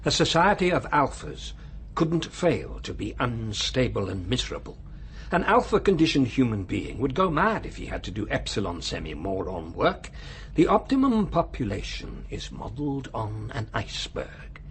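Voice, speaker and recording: Radio drama villain male actor: powerful, urbane, condescending lecturer, mid-pitched and highly animated, slight background noise. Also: normal speed, close to an everyday voice. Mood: coolly amused. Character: male world ruler, urbane, coolly cynical, menacing authority.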